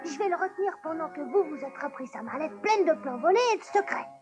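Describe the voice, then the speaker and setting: A woman's voice: high-pitched, lively intonation, quick pace, over music. A woman voicing an eager, mischievous young boy in a dubbed cartoon. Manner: eager, breathless boyish chatter.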